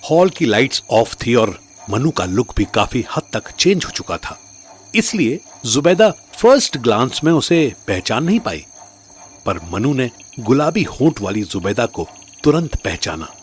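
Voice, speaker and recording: A man's voice: mid-range voice, sing-song, measured pace, slightly rough. A man narrating a scripted story in a dramatic storytelling style.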